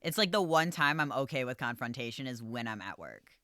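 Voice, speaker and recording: A man who manages a coffee shop, talking casually and calmly, with a voice that projects and lots of "like" and "um.". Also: medium pitch, brisk, no room sound, studio quality. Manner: calm, easygoing, filler-heavy with lots of "like" and "um". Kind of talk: casual podcast chat.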